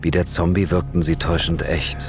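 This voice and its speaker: An actor playing an investigator who narrates his eerie case in a measured, suspenseful horror audio drama style. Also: low-pitched, little pitch movement. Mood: composed suspense. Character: male investigator recounting his eerie case, tense and composed. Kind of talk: scripted first-person horror narration. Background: music.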